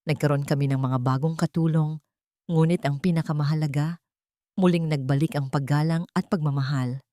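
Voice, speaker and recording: A woman's voice: sits low, fairly even intonation, a little fast, warm tone, no room sound, studio quality. A woman reading a scripted first-person dramatic story as its narrator.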